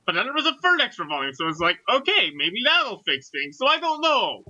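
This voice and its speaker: Casting: man with high, piercingly bright voice, slangy rambling enthusiast in casual chat, lo-fi audio. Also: wildly swooping pitch, a little fast. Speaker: co-host.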